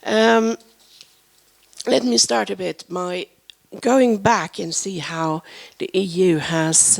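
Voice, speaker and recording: Hoarse, gravelly woman in her fifties. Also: lowish voice. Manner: halting, searching for words. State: hoarse from a flu. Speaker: panel speaker. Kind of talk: conference presentation. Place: conference panel room. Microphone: handheld microphone.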